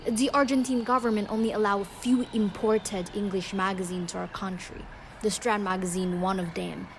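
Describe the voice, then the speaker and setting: An actress playing a young, quietly bold Argentine housemaid with a Spanish accent and halting, clipped phrasing in an audio drama. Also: female voice, medium pitch, fairly even intonation, a little hiss or hum, close to an everyday voice. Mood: knowing, confiding. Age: young adult.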